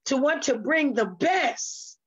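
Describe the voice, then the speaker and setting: Female interviewee, actor, notably high pitch, animated anecdotal delivery, lo-fi recording. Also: slow pace. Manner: animated, repeats phrases, acts out quotes. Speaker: stage play actress being interviewed. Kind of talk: unscripted personal anecdotes. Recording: dull, lo-fi sound.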